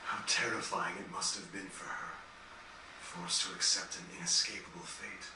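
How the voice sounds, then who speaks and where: A man playing a gentle, melancholy dying composer in a fantasy game, speaking in a reflective, earnest, measured way with formal phrasing. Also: sits low, fairly even intonation, natural voice. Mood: anguished grief. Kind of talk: dramatic game cutscene dialogue. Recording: distant, echoey, noisy game capture.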